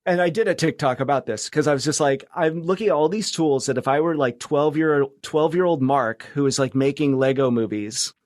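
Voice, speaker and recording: A man's voice: a little high, a little fast, few pauses, darkish tone, no echo, clean studio sound. A middle-aged American man chatting casually as a podcast guest, reflective and loosely rambling. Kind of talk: casual conversational interview chat.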